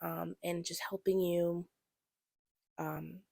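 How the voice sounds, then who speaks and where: A woman's voice: lowish voice, level tone, slow, gravelly, dark tone, no room sound, studio quality. A teenage girl hosting her own podcast, talking in an earnest, encouraging, rambling way with a slightly stuffy nose and a bit of a sore throat. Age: teens. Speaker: podcast host. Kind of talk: solo casual podcast talk.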